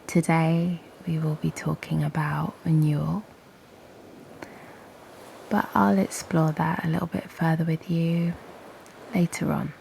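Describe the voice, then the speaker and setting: A woman guides a meditation in a very slow, soothing delivery with long pauses. Her voice is low and breathy, often slipping into a half-whisper, with a creaky edge. Some ambient noise sits behind her. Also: flat delivery. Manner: gentle, unhurried, with long pauses.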